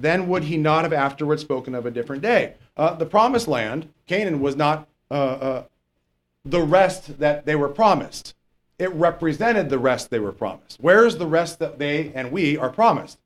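A man with a lower voice, a pastor teaching verse by verse in a conversational way and reading scripture slowly and with emphasis. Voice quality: lower voice, not strong. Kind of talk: verse-by-verse Bible teaching sermon.

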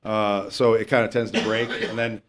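A man with a lower voice, a pastor teaching verse by verse in a conversational way and reading scripture slowly and with emphasis. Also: level tone. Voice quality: lower voice, not strong. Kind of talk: verse-by-verse Bible teaching sermon.

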